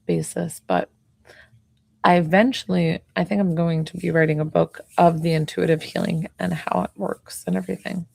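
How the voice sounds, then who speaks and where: A slightly hoarse woman in her forties talks softly and casually, peppering her speech with fillers. Her voice sits low with a gravelly fry. The sound is close and dry, with no room around it. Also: dry recording. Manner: talks softly, chatty with many "like"s. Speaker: intuitive healer. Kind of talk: casual live-stream chat.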